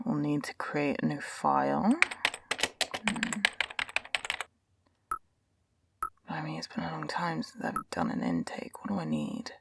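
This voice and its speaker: A woman playing a calm, wry, world-weary doctor and scientist in an audio drama, speaking in a dry, measured manner. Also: deep voice, gravelly, background noise, full character voice. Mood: musing to herself.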